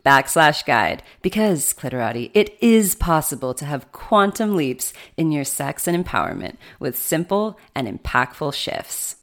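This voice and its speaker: A woman co-host reading a podcast promotion in a lively, selling tone. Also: sits low, unhurried, hardly any pauses. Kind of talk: read promo segment.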